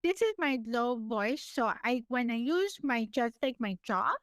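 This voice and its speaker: A woman with a high, clear, smooth voice and a slight lisp, shaping each word with effort. She speaks slowly in relaxed interview chat and laughs easily. The sound is dry and close. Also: dry recording. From California. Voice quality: high-pitched voice. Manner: animated, laughs often, pushes extra hard on words. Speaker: podcast guest.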